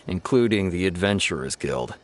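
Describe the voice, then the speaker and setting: A man's voice: deep voice, expressive, normal speed. A man playing an earnest, exasperated police officer in a fantasy video game, explaining things in a formal manner. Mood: earnest, official. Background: game music.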